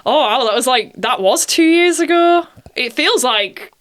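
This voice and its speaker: A woman's voice: high-pitched, expressive, a little slow, a bit bright. A woman, an actress being interviewed, chatting informally and voicing remembered remarks as she talks. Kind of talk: casual chat.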